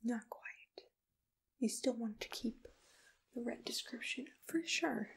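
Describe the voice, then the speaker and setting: A woman playing a gentle, soothing monster matchmaker in a whispered ASMR roleplay, unhurried and reassuring. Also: a little high. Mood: pleased, businesslike. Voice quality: breathy, whispery. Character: soft-spoken, accommodating female monster matchmaker. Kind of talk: ASMR personal-attention roleplay, mostly whispered.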